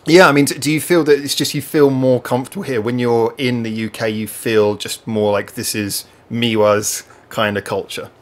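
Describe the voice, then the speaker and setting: A man's voice: medium pitch, steady tone, normal speed, few pauses. A man in his thirties from England, hosting a casual podcast chat over a video call, rambling and restarting his sentences with frequent laughs. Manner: rambling, self-correcting, laughs often. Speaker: podcast host. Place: home room, indoors. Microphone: webcam on a video call.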